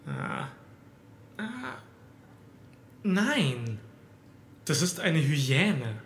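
An anxious young captive played by a man speaks in a horror radio play. His delivery is slow, halting and desperate. He sounds enclosed in an echoey space with faint noise. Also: on the high side, very animated, fairly bright tone, heavily acted. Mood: uneasy. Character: frightened young man trapped in a cellar. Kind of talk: horror audio drama dialogue.

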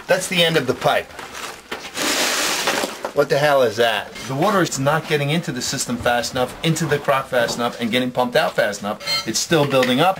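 A middle-aged man, a waterproofing contractor, bluntly pointing out faults in a basement job, talking on a headset mic. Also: medium pitch. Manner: blunt, repetitive, pointing things out. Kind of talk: on-site demonstration. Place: basement with cinder block walls. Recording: noisy basement sound, roomy echo.

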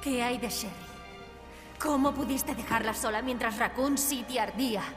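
Actress playing a brave, high-voiced young Spanish heroine. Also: female voice, moderate pace, music in the background, lightly acted. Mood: alarmed, indignant. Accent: Castilian Spanish. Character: brave, protective young woman survivor. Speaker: game voice actor. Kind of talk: cinematic video game acting.

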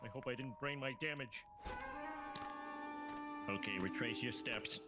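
A man voicing a dim, excitable middle-aged cartoon everyman with a thick, goofy, dopey voice, given to exclamations. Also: mid-range voice, measured pace, close to an everyday voice. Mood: muddled. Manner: goofy, exclamatory, swinging from mumbling to yelping. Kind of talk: animated comedy acting. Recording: loud music behind the voice.

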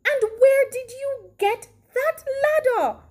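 A woman voicing a cross, fussy married lady who scolds briskly and reproachfully in a children's storybook. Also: very high voice, expressive, pitched above the performer's own voice, full character voice. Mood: scolding.

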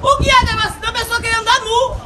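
A middle-aged man with a Bahian Brazilian accent, preaching fervently in the street and on a bus, breaking into shouts and repeating words. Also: very high voice, little pitch movement, rapid-fire. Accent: Bahian Brazilian Portuguese. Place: city street and inside a bus. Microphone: handheld phone camera. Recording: phone recording with loud crowd and traffic.

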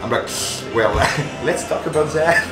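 A man's voice: on the high side, measured pace. Young french guy in a casual interview, chatty, echoey room with music behind. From France. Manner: animated, laughing, many fillers. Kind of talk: casual chat.

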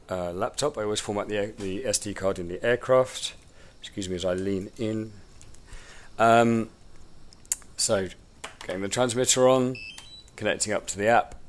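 A man with a cold, sounding snuffly, giving a relaxed, rambling step-by-step tutorial at a table in a room at home. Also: fairly low pitch, a little hiss or hum. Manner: informal, rambling, many ums. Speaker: drone instructor. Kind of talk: hands-on tutorial walkthrough.

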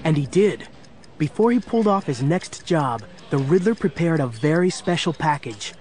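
An actor playing an eager young sidekick, narrating a children's audio drama in a dramatic scripted style. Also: male voice, on the high side, animated, normal speed, music in the background, natural voice. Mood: earnest storytelling. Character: eager young male sidekick telling case history.

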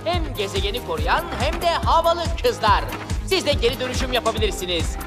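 High, lively, cool teen girl. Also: brisk. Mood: showy, upbeat. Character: cool, fashion-proud teenage monster girl with showy poise. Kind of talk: animated series dub acting. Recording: music behind the voice.

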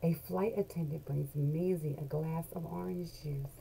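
A woman's voice: low voice, creaky. A middle-aged woman reading a children's picture book aloud expressively, drawing out key words in a small room at home. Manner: animated storyteller, deliberate, drawn-out key words. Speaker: children's book read-along host. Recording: noisy home webcam recording.

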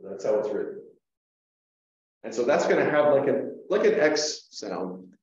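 Slow-talking man teaching in echoey room. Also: medium pitch, very animated, a little mellow, recorded from a distance. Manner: slow, halting explanations with fillers and restarts. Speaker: Greek teacher. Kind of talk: classroom lesson at a whiteboard. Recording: echoey room, dull lo-fi sound.

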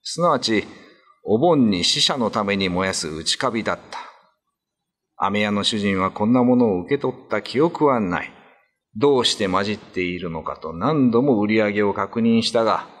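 A man's voice: on the low side, lively intonation, normal speed. A man narrating a ghost story in a dramatic, scripted radio drama style.

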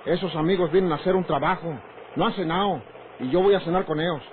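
Actor as high-voiced, bossy Mexican ranch man. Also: quick pace, natural voice. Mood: irritated, threatening. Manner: gruff, rustic, threatening. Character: bossy, short-tempered ranch man keeping secrets from his woman. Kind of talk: old radio drama acting. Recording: noisy, tinny old radio recording.

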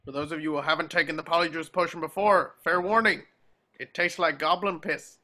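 A man playing a gruff, impatient old wizard war veteran who barks curt orders in a table read over a video call. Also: fairly high pitch, fairly even intonation, a little fast, bright, forceful tone. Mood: gruff, stern. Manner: barking, commanding, curt.

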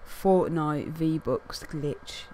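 A young man with a croaky, hoarse voice, chatting casually to camera as a gaming video host and rambling with lots of fillers. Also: fairly high pitch, very slow, a little mellow, a little hiss or hum. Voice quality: croaky, losing his voice. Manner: rambling, many fillers like "what's it called". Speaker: gaming YouTuber.

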